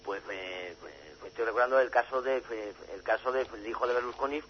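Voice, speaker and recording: A man from Spain gives a radio interview as a journalist and author, stopping and restarting often before speeding through his points. The audio is dull and lo-fi, with a faint hum behind him. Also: mid-range voice. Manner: halting, many fillers and restarts, then rushes ahead.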